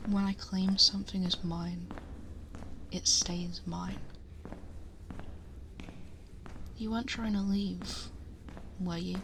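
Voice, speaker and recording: A woman playing a possessive, protective dragon girl in an intimate ASMR roleplay, speaking softly and breathily, often whispering, close to the microphone in a measured murmur. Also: on the low side, flat delivery, noisy background. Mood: possessive, firm. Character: possessive female dragon girl, protective, commanding yet tender. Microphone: close binaural ASMR microphone.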